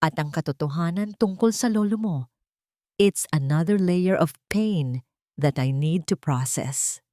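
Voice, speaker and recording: A woman's voice: fairly low pitch, a little slow, warm tone, no room sound, clean studio sound, close to an everyday voice. A woman with a Filipino accent voicing a hurt, wary woman who guards her heart in an audio story. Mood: sad, guarded. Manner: anguished, wary, switches between Tagalog and English.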